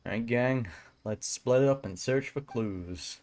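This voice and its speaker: A woman's voice: extremely low pitch, slow pace, dark tone, slight background noise. A woman giving casual, teasing commentary over a game playthrough, with game music behind her. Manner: wry, teasing, informal. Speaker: gaming video creator.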